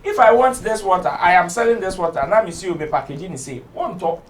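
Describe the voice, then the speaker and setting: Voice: mid-range voice, quick pace, distant mic. A speaker in a recorded interview, arguing a point and mixing English with pidgin. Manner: mixes English with pidgin, insistent. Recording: echoey room, away from the mic.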